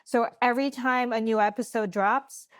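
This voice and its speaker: A woman's voice: fairly high pitch, fairly even intonation, moderate pace, dry recording. Playful woman with a dry, close sound. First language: Tagalog. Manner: playful, laughs easily. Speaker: actor guest. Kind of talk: casual interview chat.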